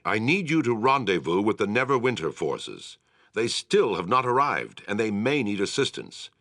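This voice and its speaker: An actor playing a grave, commanding town war leader in a fantasy video game, speaking in a measured, formal, authoritative way. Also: male voice, lowish voice, a bit bright, dry recording, studio quality, full character voice. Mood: grateful, resolute. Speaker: quest-giving military commander. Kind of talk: video game character acting, formal dialogue.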